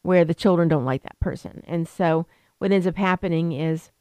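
A woman's voice: on the low side, normal speed, a little mellow, clean tone, no room sound. A woman attorney explaining elder law on a radio talk show, rambling with many fillers and restarts. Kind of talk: radio interview.

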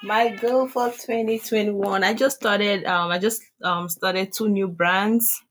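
A woman's voice: medium pitch, steady tone, slow, a bit bright. A woman with a Nigerian accent, answering interview questions hesitantly with many fillers. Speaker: guest, online seller.

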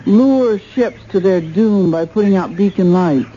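A woman's voice: mid-range voice, unhurried, dark tone, close to an everyday voice. An actress playing a sensible, anxious young wife and mother in a 1970s radio drama. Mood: uneasy. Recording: muffled 1970s radio recording, faint noise.

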